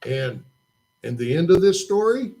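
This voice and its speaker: A man's voice: fairly high pitch, very animated, a little slow, mellow. Man doing a wide-eyed boy puppet. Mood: curious. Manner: questioning, jokey and full of wonder. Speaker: puppet in a church children's show. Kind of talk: children's puppet show dialogue. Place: church.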